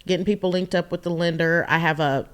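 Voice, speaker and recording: A woman of forty, a real estate agent chatting as a guest and telling lively stories. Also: fast. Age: 40s. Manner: animated storyteller, laughs often, voices quotes much higher. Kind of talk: casual interview chat.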